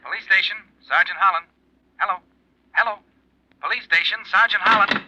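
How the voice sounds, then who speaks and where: An actor playing a brisk male police desk sergeant through a telephone filter in 1940s radio drama. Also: high-pitched, bright, forceful tone, heavily acted. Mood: brisk, insistent. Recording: distant, dull telephone sound on old radio.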